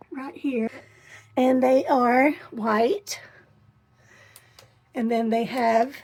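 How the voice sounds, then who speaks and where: A middle-aged woman with a hoarse voice, chatting casually as she gives a tour of her camper van. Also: high-pitched, darkish tone. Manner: chatty and enthusiastic, pausing while showing things. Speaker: vlogger. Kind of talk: casual home tour vlog. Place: inside a small camper van.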